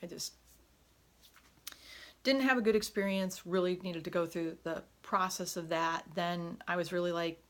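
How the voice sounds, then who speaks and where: A woman chatting in an unscripted home vlog, often pausing with fillers and correcting herself, her voice hoarse at times. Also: on the low side, fairly even intonation. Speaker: vlogger. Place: home room. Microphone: camera on a tripod.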